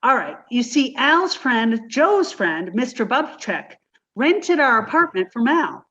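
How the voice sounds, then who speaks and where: A woman's voice: on the high side, sing-song, slow pace, fairly bright tone, muffled recording, heavily acted. A woman playing a sweet, scatterbrained young secretary in a 1950s-style radio comedy, with a breathless, dizzy, sing-song delivery. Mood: cheerful, dizzy.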